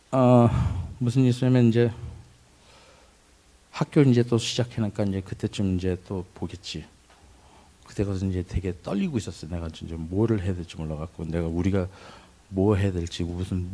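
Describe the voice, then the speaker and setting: A middle-aged man giving a personal testimony, recalling his childhood in a reflective way with pauses and restarts. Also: low voice, dark tone. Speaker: testimony speaker.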